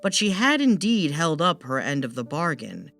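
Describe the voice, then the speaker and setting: A woman's voice: low-pitched, sing-song, moderate pace, fairly bright tone, soft background music. A woman narrating a scripted fairytale as a storyteller.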